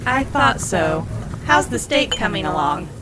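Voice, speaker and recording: A woman playing a sassy, no-nonsense diner waitress in a comedy audio drama, folksy and brisk in manner. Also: mid-range voice, big pitch swings, background noise. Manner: folksy, brisk, calls people hun.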